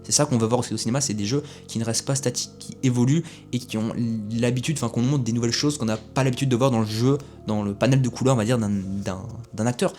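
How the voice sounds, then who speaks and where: Young male reviewer, quick chatty delivery, rambling with filler words, light music bed. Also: mid-range voice, steady tone, darkish tone. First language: French. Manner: rambling, lots of fillers and restarts. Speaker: film reviewer. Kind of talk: casual film review.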